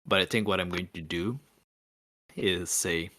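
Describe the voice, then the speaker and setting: Low-voiced man playing a tabletop game. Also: unhurried, pushed and bright, no room sound, studio quality. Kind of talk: casual chat and roleplay description.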